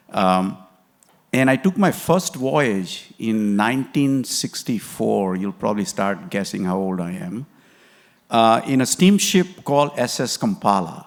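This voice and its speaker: Older man from Kenya, conference speaker, lowish voice, rambling with fillers, slightly distant sound. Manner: rambling, many fillers and restarts. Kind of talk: conference talk.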